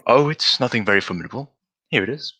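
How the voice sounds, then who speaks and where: An actor playing a brisk, self-assured gentleman detective in an old-style radio drama, with precise, formal diction. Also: medium pitch, expressive, husky, exaggerated voice. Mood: brisk, commanding. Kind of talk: 1940s radio drama acting.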